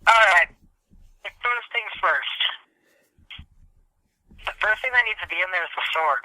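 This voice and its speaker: A man's voice: sits high, animated, measured pace, pushed and bright, dry recording, low-quality recording. A man co-hosting a podcast, chatting casually about games with a sarcastic, teasing manner. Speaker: podcast co-host. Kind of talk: casual gaming chat.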